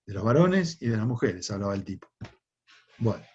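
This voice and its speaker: A man's voice: on the low side, sing-song, brisk, darkish tone, slightly rough, dull audio. A man with an Argentine accent teaching a history class, lecturing conversationally and often checking in with rhetorical questions. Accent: Argentine Spanish.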